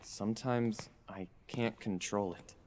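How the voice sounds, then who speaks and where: A man's voice: lowish voice, fairly even intonation, takes it slowly. An actor playing a moody, apologetic young man in an audio drama, subdued in manner. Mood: remorseful. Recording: long reverb, faint noise.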